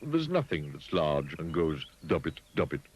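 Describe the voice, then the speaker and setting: A man voicing a haughty male elephant who talks down slowly and condescendingly. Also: extremely low pitch, sing-song, breathy edge, exaggerated voice. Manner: slow, condescending.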